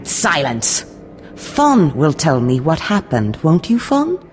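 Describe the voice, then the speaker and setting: A woman's voice: low-pitched, sing-song, takes it slowly, darkish tone, a little hiss or hum, full character voice. A woman playing a poised, menacing, condescending Sith lord in a video game, with a theatrical, mock-sweet manner. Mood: mock sweetness. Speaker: villainous mentor.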